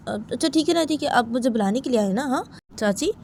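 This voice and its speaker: A young daughter-in-law in a domestic story, acted out by a woman narrator, flustered and insistent. Her protests climb high and repeat themselves, over noticeable background noise. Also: lively intonation, fast, creaky, a little mellow. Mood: flustered, giving in. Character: young daughter-in-law, tearful, insistently denying she cried.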